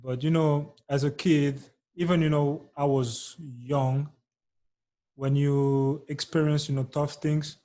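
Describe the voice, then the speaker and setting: A man in his thirties from Congo who grew up in Belgium, speaking softly as a podcast guest and using many "you know" fillers. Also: a little high, flat delivery, slow. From Congo, raised in Belgium. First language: Lingala. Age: 30s. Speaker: podcast guest, soccer player. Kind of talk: casual interview chat.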